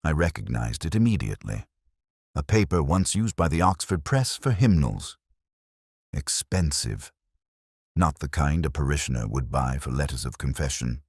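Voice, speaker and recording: A man narrating a scripted first-person detective story in a measured, reflective, literary manner. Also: very deep voice, lively intonation, gravelly, slightly rough, no room sound, studio quality.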